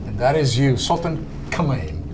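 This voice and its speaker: A man's voice: medium pitch, normal speed. A man hosting a podcast interview, enthusiastic and eager, often repeating himself as he gets going. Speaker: podcast host. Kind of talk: casual interview. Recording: steady background noise.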